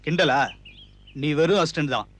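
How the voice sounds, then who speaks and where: An actor playing a bossy, irritable film director in a TV serial, scolding in a peremptory way. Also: male voice, fairly high pitch, normal speed, fairly bright tone. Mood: irritated, scolding. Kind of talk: TV serial comedy-drama acting.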